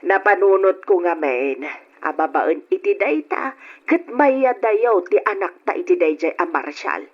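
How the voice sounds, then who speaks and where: A woman's voice: lowish voice, lively intonation, measured pace. A woman acting a worried, opinionated elderly wife and mother who complains and argues in an old radio drama. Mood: firm. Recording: dull lo-fi old radio recording.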